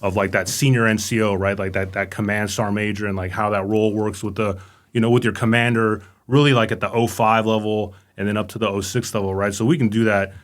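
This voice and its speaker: A middle-aged man speaks quickly in a low-ish voice. His talk is loose and rambling, and he tags his sentences with "right?" and "you know". It is an easy, casual interview chat. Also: level tone. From born in Romania, raised in Los Angeles. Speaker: guest, command sergeant major.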